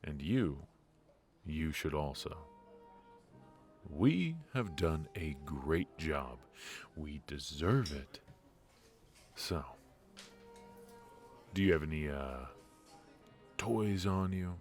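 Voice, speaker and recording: A man playing a cocky but affectionate secret agent in an intimate noir audio roleplay, with halting, teasing delivery. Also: a bass voice, animated, faint music behind, heavily acted. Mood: weary, teasing. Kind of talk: intimate ASMR roleplay voice acting.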